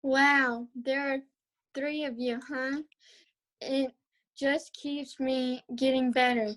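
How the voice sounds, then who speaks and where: A young reader playing a hungry, menacing wolf who taunts little pigs in a drawn-out way at an amateur table read. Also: high-pitched, flat delivery, a bit bright, low-quality recording. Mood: taunting. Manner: slow, drawn-out, taunting delivery.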